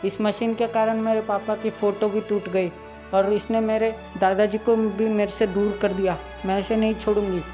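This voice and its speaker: A man's voice: high voice, little pitch movement, moderate pace, dark tone, close to an everyday voice. Angry young girl captain read by a young man, cartoon recap, plain read, faint music.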